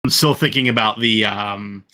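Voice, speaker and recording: Bright-voiced man thinking aloud. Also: lowish voice, fairly even intonation, moderate pace. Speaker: podcast panelist and fan voice actor. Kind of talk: casual group podcast chat.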